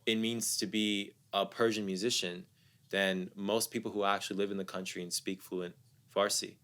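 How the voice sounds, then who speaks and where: A man's voice: lowish voice, flat delivery, moderate pace, fairly bright tone. A young man from the United States, a guest musician talking casually and reflectively in an interview, often repeating words as he thinks.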